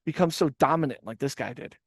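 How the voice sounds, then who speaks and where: A man's voice: medium pitch, fairly quick, no room sound, clean studio sound. A man hosting a casual reaction podcast, rambling with stammered restarts and swearing. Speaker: podcast host.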